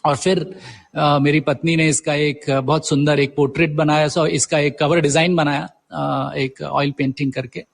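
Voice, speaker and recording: Man, middle-aged, gravelly creak, slightly high pitch, conversational, pausing to search for words. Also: flat delivery. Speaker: guest, writer. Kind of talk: conversational interview answers, Hindi mixed with English words.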